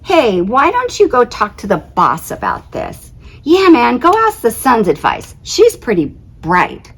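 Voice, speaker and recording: Lady doing a chummy cartoony planet pal, pitched up, teasing and swoopy. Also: a little slow, slightly rough, heavily acted. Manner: lively, slangy, teasing.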